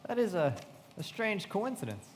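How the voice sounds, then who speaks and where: A man's voice: high-pitched, very animated, moderate pace, slight background noise. An actor playing an earnest, curious young man who also narrates a live radio play, recorded in an echoey hall. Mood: hesitant. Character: curious, naive young man narrating his own story. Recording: echoey hall with long reverb.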